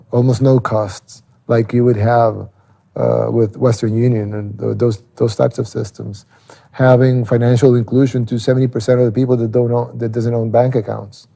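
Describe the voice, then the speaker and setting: A man from El Salvador with a Salvadoran Spanish accent, explaining things casually in an interview and haltingly repeating words as he thinks. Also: medium pitch, vocal fry, warm tone.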